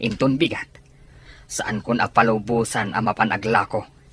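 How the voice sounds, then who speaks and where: A man's voice: fairly low pitch, steady tone, moderate pace, a bit bright, a little hiss or hum, lightly acted. A man playing a stubborn, dismissive father in an Ilocano radio drama, with an Ilocano accent. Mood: grim.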